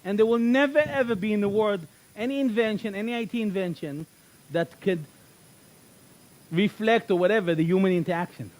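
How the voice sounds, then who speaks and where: High-voiced man giving an emphatic workshop talk. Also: brisk. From Israel. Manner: animated, emphatic, repeats key phrases. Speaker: workshop speaker.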